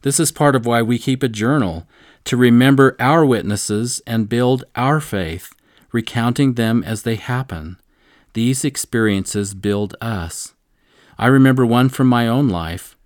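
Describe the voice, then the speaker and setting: A man co-teaching a scripture lesson, earnest and measured, slowing down to stress key points and sharing stories from his own life. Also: mid-range voice, no echo, studio quality. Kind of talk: devotional teaching with personal stories.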